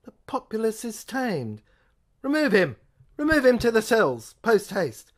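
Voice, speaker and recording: A woman voicing an agitated male police sergeant in an audio play, loud and excitable, with raised pitch. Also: unhurried.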